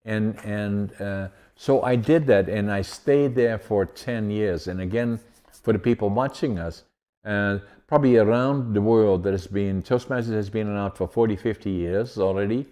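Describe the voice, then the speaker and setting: Low, mellow-voiced host. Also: male voice, normal speed. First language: Dutch. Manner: long, meandering questions. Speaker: podcast host. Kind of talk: conversational interview.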